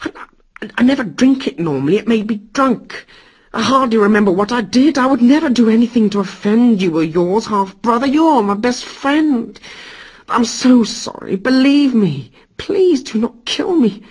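A man voicing a cowardly male trickster god who whines and pleads for mercy in a high, strained voice. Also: lively intonation, moderate pace, breathy edge, full character voice. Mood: pleading. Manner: whining, pleading, sobbing.

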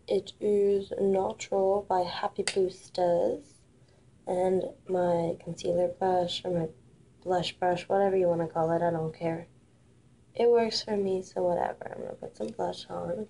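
Young woman with a cold, gravelly and dark. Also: mid-range voice, fairly even intonation, measured pace. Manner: chatty, offhand, self-deprecating. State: recovering from a cold, sniffly. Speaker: makeup vlogger. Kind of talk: casual makeup tutorial. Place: small room indoors. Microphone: built-in webcam mic at arm's length.

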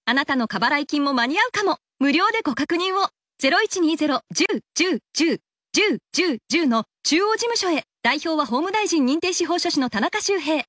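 Female advert announcer, high-pitched and very melodic, scripted radio spot, dry studio sound. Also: lively intonation, moderate pace, a bit bright, no room sound.

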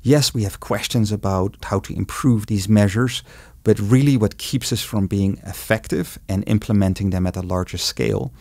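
A Dutch man with a Dutch accent, speaking as an ecologist guest in an interview, explaining things in a measured way. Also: on the low side, darkish tone, no room sound, studio quality. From Netherlands. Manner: measured, explanatory, with some restarts. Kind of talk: interview answers.

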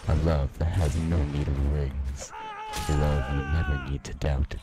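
A man reading a romantic poem aloud in a slow, theatrical, dramatic way. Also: rumbling low, level tone. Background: music.

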